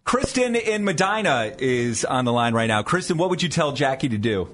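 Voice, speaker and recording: Lively-voiced male radio host. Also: medium pitch, moderate pace. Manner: chatty, conversational, with restarts. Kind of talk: morning radio talk. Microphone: studio mic.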